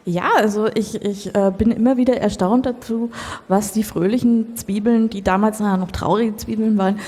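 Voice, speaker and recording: A woman improvising a comedy radio play as an earnest, emotionally invested mentor, speaking in a halting, mock-solemn way. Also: medium pitch, fairly even intonation, mellow, natural voice. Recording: echoey hall, live workshop performance.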